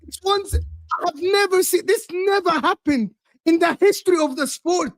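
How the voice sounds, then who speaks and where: High, excitable Texan-accented man. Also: normal speed, no echo. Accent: Texan American. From Texas, USA. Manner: excitable, loud, exclaiming in disbelief. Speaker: podcast co-host. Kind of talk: casual football chat.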